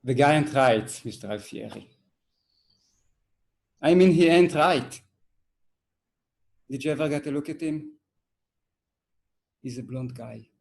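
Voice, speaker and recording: A man's voice: on the high side, darkish tone. A man performing a stage monologue as an agitated, suspicious working-class uncle from the docks, insistent and pleading in a colloquial way.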